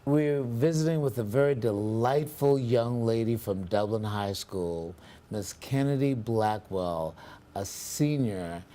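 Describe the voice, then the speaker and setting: A lower-voiced man hosts a local television interview. He talks in a measured, formal manner and fills his pauses with ums. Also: mellow, a little hiss or hum. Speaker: TV interview host.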